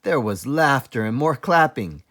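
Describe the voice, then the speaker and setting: School librarian guy reading a kids book out loud, slow and careful, very expressive pitch. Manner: deliberate, expressive storytelling. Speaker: teacher librarian. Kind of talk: children's read-aloud narration.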